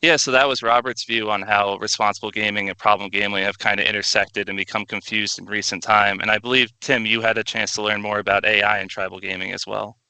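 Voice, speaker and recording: Guy cohost chatting, really crisp clean voice, dry close sound, even tone. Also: on the low side, fast talker, hardly any pauses, no room sound, rough recording. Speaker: co-host. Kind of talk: conversational show presenting.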